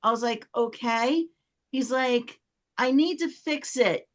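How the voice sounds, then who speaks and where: High-voiced woman telling a casual anecdote. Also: slow pace. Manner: chatty, reenacts dialogue when retelling.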